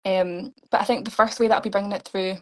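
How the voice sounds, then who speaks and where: Upbeat young Scottish woman, flat pitch. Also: fast talker, a little hiss or hum. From Scotland. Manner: earnest, upbeat, laughs often. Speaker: pageant contestant. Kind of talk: live online interview.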